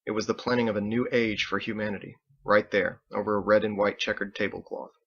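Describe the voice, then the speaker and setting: A man's voice: fairly low pitch, steady tone, fairly quick, creaky, fairly bright tone, dull audio. A man reading scripted fiction aloud as its author and narrator.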